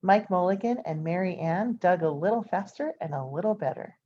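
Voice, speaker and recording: A woman reading a children's picture book aloud as an expressive storyteller, adding informal asides for her listeners. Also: fairly low pitch, moderate pace. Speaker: storybook reader. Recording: dull, lo-fi sound.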